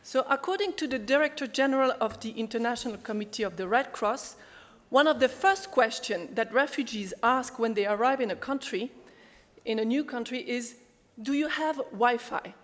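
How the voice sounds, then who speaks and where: A woman moderating a conference round table, speaking in halting with frequent fillers and restarts. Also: fairly high pitch, a bit bright. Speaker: panel moderator. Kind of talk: conference talk, prepared remarks. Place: large conference hall. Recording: echoey hall with long reverb.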